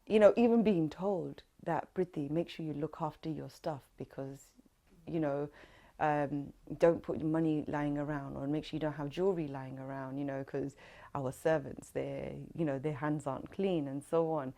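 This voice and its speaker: Low-voiced London woman, reflective. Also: fairly even intonation, moderate pace, warm tone. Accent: London English. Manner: reflective, earnest, with many fillers. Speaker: interviewee. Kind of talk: oral history interview.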